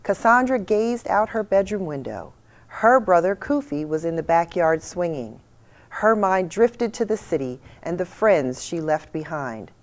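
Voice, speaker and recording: A woman reading a children's picture book aloud with expressive storytelling delivery. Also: fairly low pitch, a little slow. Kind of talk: storybook read-aloud narration.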